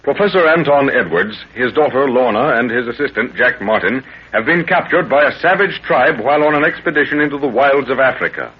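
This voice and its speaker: A man narrating a jungle adventure serial as its announcer, in a portentous, deliberate, theatrical 1930s radio style. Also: mid-range voice, expressive, breathy edge. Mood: urgent, dramatic. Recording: muffled, noisy 1930s radio recording.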